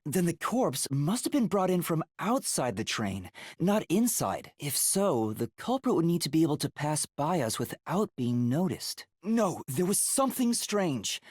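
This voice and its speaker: A man's voice: fairly high pitch, a little mellow, dry recording, clean studio sound. A man voicing an earnest, anxious young male trainee detective in an English video game, hesitant and thinking aloud.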